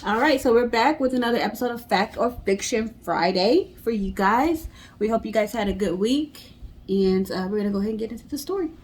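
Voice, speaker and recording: A woman's voice: fairly high pitch, expressive, fairly quick, a little mellow, a little hiss or hum. A woman co-hosting and retelling a story in a casual storytelling style. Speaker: co-host.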